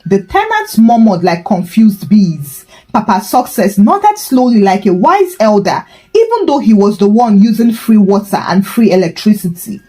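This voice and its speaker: A woman's voice: mid-range voice, sing-song, normal speed, few pauses, mellow, soft background music. A woman storyteller giving a scripted, dramatic narration of a folktale.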